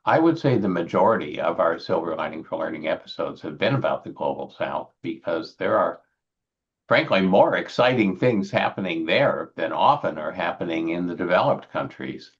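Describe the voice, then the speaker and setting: A man hosting a podcast, talking frankly in a measured way with frequent hesitations. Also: low voice, animated. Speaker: podcast host. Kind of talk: conversational podcast talk. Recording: dull lo-fi audio.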